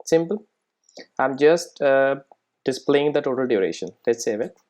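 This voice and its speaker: A tutorial instructor with a medium-pitched voice explains code step by step. The delivery is informal and instructional, with frequent little check-in questions to the learner. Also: expressive, normal speed, a little mellow. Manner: informal, frequent check-in tags like "right?" and "make sense?". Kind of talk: screen-recorded coding tutorial, explaining step by step.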